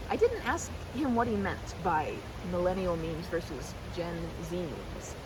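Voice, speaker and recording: Woman playing a chatty, animated best friend, noisy ambience. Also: medium pitch, close to an everyday voice. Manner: rambling, animated, frequent self-interruptions and tangents. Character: chatty, self-deprecating, affectionate female best friend rambling to a listener. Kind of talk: casual ASMR roleplay monologue.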